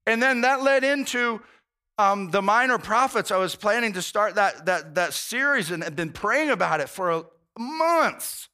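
A man's voice: high-pitched, lively intonation, normal speed, pushed and bright, clear, resonant tone. A male pastor preaching a conversational sermon, earnest and informal, often restarting phrases and saying "man".

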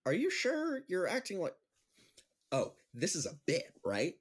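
A man's voice: high voice, wildly swooping pitch, measured pace, husky, exaggerated voice. A man playing an upbeat, chatty friend and amateur comic in an audio drama, with a playful, teasing manner. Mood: concerned.

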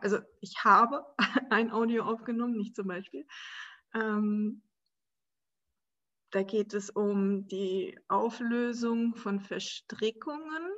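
A woman hosting a spiritual podcast on her own, talking in a relaxed, searching way with many soft pauses and light laughs. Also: fairly high pitch, dull audio. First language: German. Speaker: podcast host. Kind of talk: casual solo podcast monologue.

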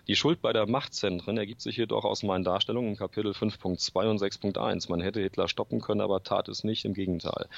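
A man speaks in a low, gravelly voice, roughened by a cold that makes him clear his throat. He answers in a halting way, with many fillers and restarts. The sound is dry and dull, like a lo-fi home recording. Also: dry recording. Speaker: author guest. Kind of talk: interview answers. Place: room full of books at home.

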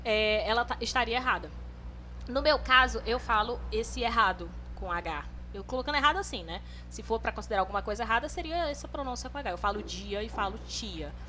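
A young woman from northern Brazil talks through her points in an unhurried, chatty way. Her voice sits a little high and rings bright and crisp. A faint hiss runs under the recording. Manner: relaxed, explanatory, chatty. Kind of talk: casual group chat.

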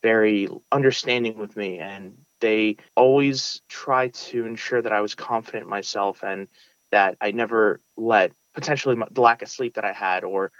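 A young man talks in a fairly low voice, earnest and a little halting as he restarts his sentences. The sound is dry and close, and slightly muffled. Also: fairly bright tone, dry recording. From United States (Brooklyn). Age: young adult. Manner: earnest, frequent restarts and fillers. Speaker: interview guest, valedictorian. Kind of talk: casual interview.

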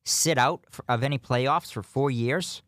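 A man's voice: mid-range voice, unhurried, a bit bright, dry recording. A young man hosting a call-in sports radio show, who talks hesitantly and fills it with "you know". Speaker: radio host.

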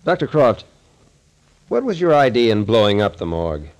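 An actor playing a tough, shrewd detective captain in a 1940s radio thriller, dry and commanding. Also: male voice, fairly low pitch, big pitch swings, normal speed. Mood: matter-of-fact. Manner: matter-of-fact, dry, commanding.

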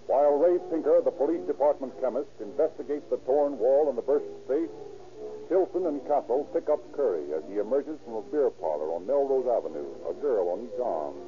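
A man's voice: medium pitch, expressive, fairly quick, lightly acted. A man playing the dramatic narrator of a scripted 1930s radio crime story. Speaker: announcer-narrator. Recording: muffled old radio, music behind.

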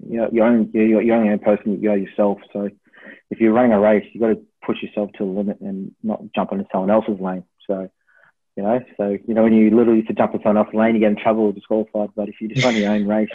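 Husky Australian man on a phone-like line. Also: on the low side, flat delivery, fast. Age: 20s. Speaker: podcast guest, university student. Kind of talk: casual interview chat. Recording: thin phone-like line with room echo.

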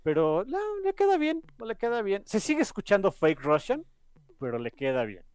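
A man's voice: high voice, huge pitch swings, moderate pace, darkish tone, clear, resonant tone, no echo. A man with a Mexican accent hosting a podcast, chatting casually in an enthusiastic, rambling way. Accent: Mexican Spanish. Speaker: podcast host.

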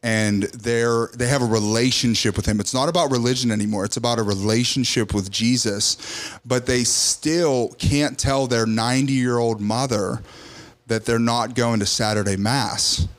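A man's voice: medium pitch, fairly even intonation, normal speed. A man, a pastor, in a casual podcast conversation, talking earnestly and repeating phrases for emphasis. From Serbian heritage, raised Serbian Orthodox.

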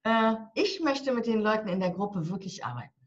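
A woman's voice: mid-range voice, expressive, fairly quick. A woman of about sixty talking bluntly and with humor in a casual interview, describing how she coaches people. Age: turning 60. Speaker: guest, divorce coach and author. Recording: slightly dull lo-fi audio.

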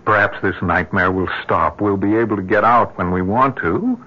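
A man playing an anxious, bewildered, devoted husband in an old-time radio drama, halting and pleading. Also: on the low side, lively intonation, pushed and bright, breathy edge, full character voice. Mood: soothing, pleading. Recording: muffled vintage radio recording.

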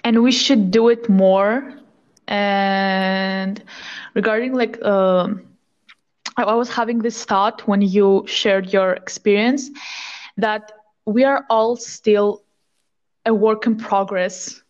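A woman's voice: medium pitch, fairly even intonation, slow pace, dull audio. A twenty-one-year-old woman, a podcast guest, speaking earnestly and reflectively in casual chat.

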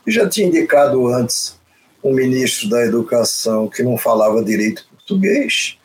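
A man with a Brazilian accent, a panel commentator who speaks skeptically and somewhat haltingly about a new minister. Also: medium pitch, sing-song, slight background noise, poor audio. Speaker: political commentator.

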